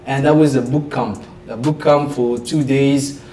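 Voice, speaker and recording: A man's voice: mid-range voice, fairly even intonation, a little slow, warm tone, echoey room, recorded from a distance. A man in his twenties from Belgium, whose first language is French, speaking English as a podcast guest and often searching for words. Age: 20s. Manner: searches for words, many restarts and fillers. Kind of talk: casual interview.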